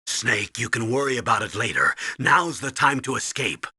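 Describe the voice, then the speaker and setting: Forceful, husky military commander. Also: male voice, mid-range voice, expressive, moderate pace, dry recording, studio quality, exaggerated voice. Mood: commanding, steady. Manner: commanding, emphatic, instructive. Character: gruff, urgent military commanding officer briefing his agent. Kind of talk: video game radio briefing acting.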